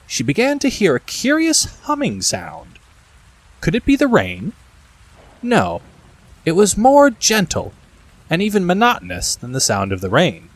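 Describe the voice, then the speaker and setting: A man narrating a classic children's story from a script, expressive and speaking directly to the listener. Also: medium pitch. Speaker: audiobook narrator.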